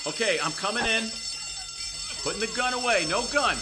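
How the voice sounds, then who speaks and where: A man acting an earnest negotiator in a radio drama, speaking carefully and placatingly with pauses. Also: sits high, very noisy, close to an everyday voice. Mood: tense, soothing.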